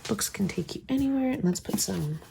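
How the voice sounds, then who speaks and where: A woman's voice: medium pitch, expressive, a little fast, vocal fry, noisy background. A woman with a slight cold and a stuffy voice, chatting casually as she plans, thinking aloud and often dropping to a whisper. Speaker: planner vlogger. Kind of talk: casual craft chat while planning.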